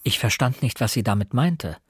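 An actor playing a reflective young man, narrating a radio crime drama in a measured, earnest way. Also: mid-range voice, lively intonation, slightly rough, no echo, clean studio sound. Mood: sombre. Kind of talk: scripted first-person radio drama narration.

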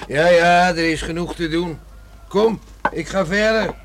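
High, creaky village farmer. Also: male voice, measured pace. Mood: brisk, neighbourly. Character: gruff, busy male neighbouring village farmer. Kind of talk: radio drama acting in dialogue. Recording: noisy old radio play recording.

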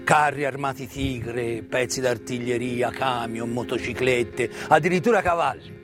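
A man tells a story of wartime history as a stage actor, with dramatic emphasis and deliberate pauses. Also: mid-range voice. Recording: live music mixed under the voice. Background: live musical accompaniment.

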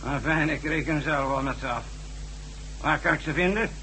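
An actor playing a smug, commanding villain chief who speaks deliberately in a radio drama. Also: male voice, a little high, bright, forceful tone, rough recording. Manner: deliberate, commanding, self-satisfied. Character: smug, bossy male villain chief persuading underlings. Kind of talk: science fiction radio drama acting. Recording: old radio drama with faint hum.

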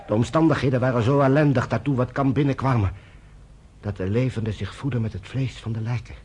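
An actor in a radio play, playing a troubled, conscientious British general, grave and measured, with a husky voice. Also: male voice, medium pitch, darkish tone, natural voice. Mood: grave testimony. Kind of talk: Dutch radio play acting.

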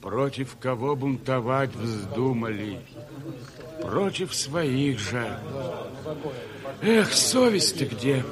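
A man's voice: mid-range voice, lively intonation, very slow, long pauses. An actor playing an indignant man reproaching mutineers in a radio drama. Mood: indignant, reproachful. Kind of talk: theatrical radio drama acting. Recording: crowd scene in 1970s radio play.

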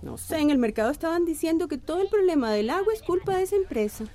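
Radio soap role, woman actor: worried mother, tender but weary of troubles, high and lively pitch. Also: quick pace. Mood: worried, fed up. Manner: affectionate, anxious. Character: worried, fed-up mother of a grown son. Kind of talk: radio soap opera acting.

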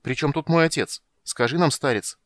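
A young elf woman, bewildered yet commanding, asking urgent questions, read by a man. The pitch rises clearly over his own voice. It sounds dry and close. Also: normal speed, a bit bright, no room sound, natural voice. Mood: anxious.

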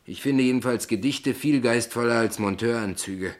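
An actor in a radio play voicing a naive, stubborn small-town poet who speaks plainly and earnestly. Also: male voice, medium pitch, normal speed, natural voice. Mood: stubborn, proud. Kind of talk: radio play acting in German.

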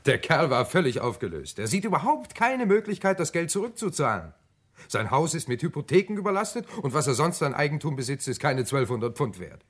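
A man's voice: a little high, very animated, fairly quick, a bit bright. An actor playing an eager, deferential British police assistant in a German radio crime drama, reporting to his superior in a colloquial, chatty way. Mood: animated reporting.

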